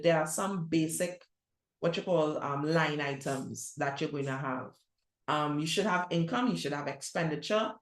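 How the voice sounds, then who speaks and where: A middle-aged woman with a Trinidadian accent leads a conference session on youth ministry. Her voice sits fairly low, and she talks in a conversational, direct way, tossing out rhetorical questions as she goes. The sound carries a noticeable room echo. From Trinidad and Tobago. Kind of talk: conference presentation.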